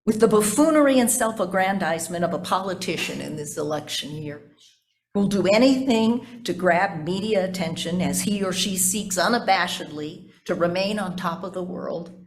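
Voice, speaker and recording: A woman pastor preaching a sermon in measured, deliberate phrases, with repetition and conversational asides to the congregation. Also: on the low side, animated.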